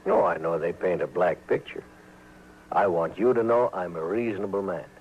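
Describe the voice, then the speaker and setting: Crooked frontier sheriff, folksy menace, dull and faintly hissy old radio recording. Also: male voice, low-pitched, animated, normal speed. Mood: oily, persuasive. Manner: folksy, slyly threatening. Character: corrupt, smooth-talking, menacing frontier sheriff. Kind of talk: old-time radio western acting.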